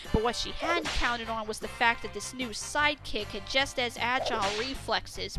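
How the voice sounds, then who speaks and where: A woman reading a scripted story as narrator, with an expressive, dramatic storytelling delivery. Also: fairly high pitch, low-quality recording.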